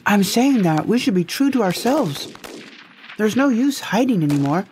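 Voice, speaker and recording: A woman acting a defiant, fretful rebel woman in a sci-fi audio drama. Her voice sits at an ordinary female pitch, with faint noise underneath. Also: very animated, moderate pace, darkish tone, lightly acted. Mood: earnest.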